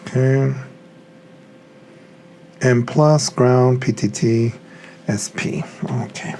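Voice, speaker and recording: A man's voice: mid-range voice, fairly even intonation, very slow, mellow, slight background noise. A man giving casual hands-on unboxing commentary, muttering to himself as he works with his hands. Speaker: hobbyist reviewer.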